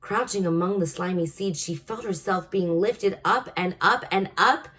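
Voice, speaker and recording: Low-pitched woman reading a storybook. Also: normal speed, fairly bright tone. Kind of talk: expressive children's book narration.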